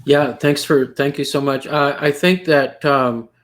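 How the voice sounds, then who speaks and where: A man's voice: a little high, steady tone, unhurried. A man in his thirties with a South Texas accent, hosting a video-call panel and talking in rambling, self-correcting turns with Spanish words mixed in. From Rio Grande Valley, South Texas. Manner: rambling, many restarts, mixes Spanish words. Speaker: panel host. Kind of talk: casual panel discussion. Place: home room. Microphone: headset on video call.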